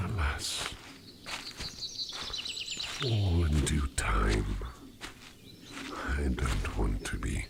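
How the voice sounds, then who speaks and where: Man playing a very deep, gravelly ASMR demon. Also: very noisy, full character voice. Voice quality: very deep, gravelly, breathy near-whisper. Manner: formal, old-fashioned, slow and halting phrasing. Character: courteous, secretive male sleep demon disguised as a man. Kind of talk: soft-spoken ASMR roleplay addressed to the listener.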